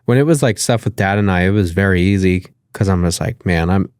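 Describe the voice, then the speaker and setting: A man's voice: sits low, fairly quick, a little mellow, smooth, dry recording, clean studio sound. A man with a nasal voice, talking loosely as a podcast guest, with lots of fillers and casual swearing. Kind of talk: casual interview conversation.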